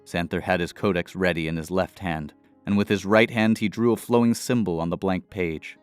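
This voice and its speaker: A male storyteller narrates a fantasy adventure in a deep, smooth voice. His delivery is measured and dramatic, recorded dry and close, with music playing underneath. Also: fairly even intonation, dry recording. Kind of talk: scripted fantasy audio narration.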